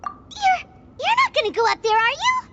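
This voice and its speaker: A woman's voice: very high-pitched, very animated, moderate pace, pushed and bright, muffled recording, a little hiss or hum, full character voice. A woman voicing a small, alarmed young companion creature in a video game, anxious and questioning.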